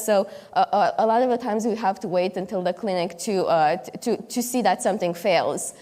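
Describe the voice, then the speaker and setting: A woman's voice: medium pitch, a little mellow. Woman from Romania speaking in a reverberant hall. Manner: many fillers and restarts, hesitant. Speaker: keynote speaker. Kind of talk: conference keynote talk. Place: conference hall stage. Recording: long reverb of a conference hall.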